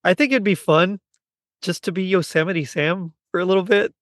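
A middle-aged man with a fairly high voice chats casually as a podcast host. The sound is very dry, with no room echo. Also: moderate pace, fairly bright tone. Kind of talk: casual chat, fan discussion.

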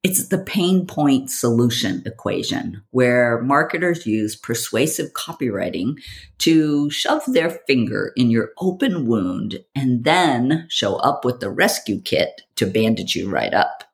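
A woman hosting a podcast, delivering a scripted monologue in a theatrical, provocative way with deliberate phrasing. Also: rumbling low, big pitch swings, creaky. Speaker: podcast host.

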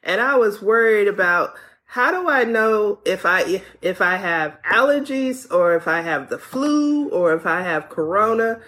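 A woman podiatrist guest on a call-in show. Her pitch swings freely as she chats and fires off questions, often restarting mid-thought. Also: animated, measured pace, a bit bright. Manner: chatty, asks questions with restarts. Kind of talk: radio call-in conversation.

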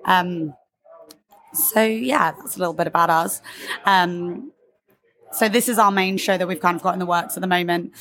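A woman, a theatre company member, chatting casually in an interview with many ums and restarts; her voice is slightly husky today. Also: fairly low pitch, fairly even intonation, moderate pace, long pauses, a bit bright, low-quality recording.

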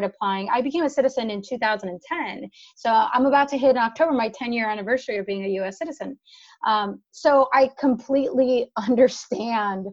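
A woman's voice: mid-range voice, highs cut off. A woman in her thirties from the Dominican Republic, an immigration attorney talking through her work with frequent restarts. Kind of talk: conversational chat.

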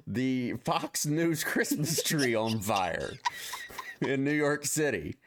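Joking, lively-voiced male podcast co-host. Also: medium pitch, slow pace, a little hiss or hum. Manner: joking, rambling, stumbles over words. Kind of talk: casual chat. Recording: live-streamed podcast.

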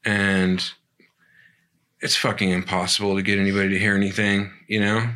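A middle-aged punk band member in casual chat, rambling and swearing with lots of fillers. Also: male voice, fairly low pitch, monotone, normal speed, bright, forceful tone.

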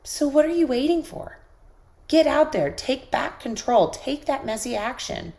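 A woman hosting a podcast, giving an encouraging motivational talk while sick with a squeaky voice. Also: animated, unhurried, vocal fry, darkish tone. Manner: encouraging, coaching listeners directly. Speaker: podcast host.

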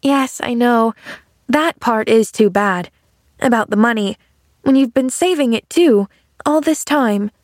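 Woman voicing an eager, high-pitched little girl. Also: unhurried, no room sound, clean studio sound, lightly acted. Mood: sympathetic, eager. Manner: eager, rambling, earnest. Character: cheerful, chatty, naive little girl, eager to please. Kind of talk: audiobook character dialogue.

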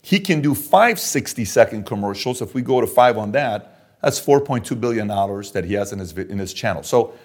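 A man's voice: on the low side, lively intonation, brisk. A middle-aged man from Iran, an entrepreneur being interviewed, working through figures aloud and stressing points with "okay?". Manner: works through numbers aloud, emphatic, repeats "okay?". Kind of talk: animated business interview talk.

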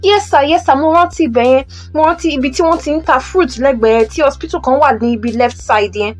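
An actress plays an eager young Nigerian mother in an audio drama. Her voice sits high, and she speaks politely and deferentially, mixing languages as she goes. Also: fast talker, lightly acted. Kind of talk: audio drama acting, Yoruba-English phone conversation.

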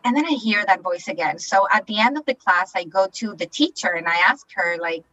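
A woman's voice: mid-range voice, animated, fairly quick, sharp tone, poor audio. A woman from Chile who is a life coach, telling her story as a guest in a confident, upbeat way. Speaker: life coach and Reiki master. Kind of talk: podcast interview guest.

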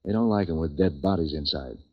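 An actor playing a street-wise, tough sleuth for hire in a 1940s radio noir drama, deadpan, clipped and wisecracking. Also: male voice, very deep voice, a little fast. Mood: cool, needling. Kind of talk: 1940s radio noir first-person narration and dialogue. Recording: dull, lo-fi 1940s radio recording.

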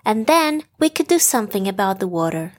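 A woman voicing a caring, observant female giraffe in a children's story, earnest and expressive. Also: medium pitch, brisk. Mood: concerned, earnest.

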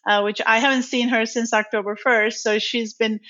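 A woman's voice: on the high side, flat delivery, moderate pace, fairly bright tone. A woman in her forties, originally from Turkey, answering interview questions in a chatty way with many ums and restarts. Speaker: podcast guest, training company founder. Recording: dull lo-fi sound.

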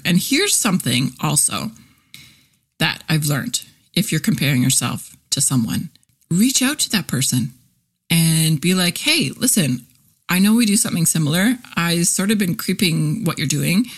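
Forties woman, podcast host, slightly low pitch, loose chatty delivery with lots of fillers. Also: slightly rough. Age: 40s. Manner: chatty and candid, many fillers, laughs easily. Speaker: podcast host, copywriter and content teacher. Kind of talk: casual solo podcast chat.

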